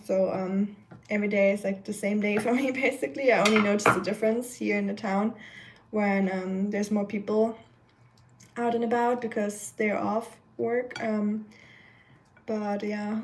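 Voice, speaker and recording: Young woman, fairly high voice, slightly nasal, chatty live-stream cooking talk, rambling, lots of fillers. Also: a little mellow. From Germany. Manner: chatty, rambling, many fillers, reads chat comments aloud. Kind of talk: casual live-stream chat while cooking.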